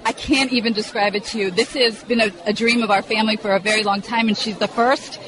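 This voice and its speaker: A woman's voice: a little high, fairly even intonation, quick pace. A middle-aged woman giving brief, unscripted answers as an interviewee. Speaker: interviewee waiting to greet arrivals. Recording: noisy crowded on-location recording. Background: crowd in airport terminal.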